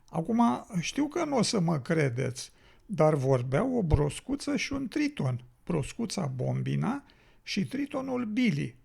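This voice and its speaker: A man playing a construction engineer who narrates an incredible tale in a radio drama, confiding in listeners with a gravelly, husky voice. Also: high voice, a little mellow, exaggerated voice. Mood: amazed. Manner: confiding storyteller addressing listeners. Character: storytelling male construction engineer recalling an incredible encounter.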